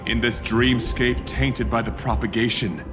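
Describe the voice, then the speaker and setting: A man's voice: medium pitch, steady tone, unhurried, a bit bright, lightly acted. A man playing a gallant knight devoted to beauty in a video game, declaiming in florid, theatrical speech. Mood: reverent, proud. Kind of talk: dramatic video game voice acting. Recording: long hall reverb, music behind. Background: game music.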